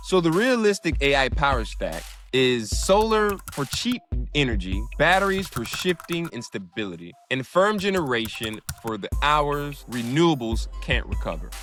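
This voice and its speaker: A man's voice: mid-range voice, a bit bright. A man hosting a podcast, explaining energy technology with jokey asides and small slips. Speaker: podcast host. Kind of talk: explainer talk.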